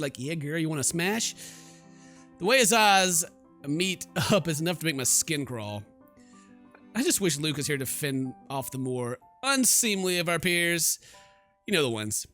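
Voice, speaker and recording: A man's voice: a little high, very animated, moderate pace, fairly bright tone, faint music behind. A man doing jokey, exclamatory, slangy commentary on a horror game while reading its dialogue aloud. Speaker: let's player.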